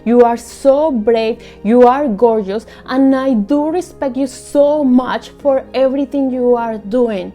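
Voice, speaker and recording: A woman's voice: fairly high pitch, soft background music. A young woman from Colombia, speaking English with a Colombian Spanish accent, in an earnest, heartfelt personal monologue. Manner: earnest and heartfelt, soft and measured. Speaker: vlogger.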